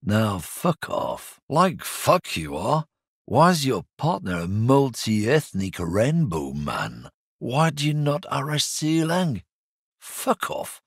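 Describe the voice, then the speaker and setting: An actor playing a surly, hostile lorry driver in a video game, sneering and swearing constantly, with a husky voice. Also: male voice, medium pitch, huge pitch swings, slow pace, no echo, full character voice. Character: surly bigoted male lorry driver, middle-aged, hostile. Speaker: game character. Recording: dry close studio recording.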